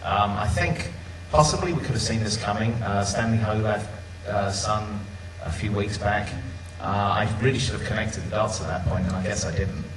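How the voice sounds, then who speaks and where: A man's voice: lowish voice, moderate pace, few pauses. A man co-hosting a live podcast panel in front of a conference audience, polling the room and running through the news. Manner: runs the discussion, polls the audience. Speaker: podcast co-host. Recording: roomy hall, camera far off, noisy.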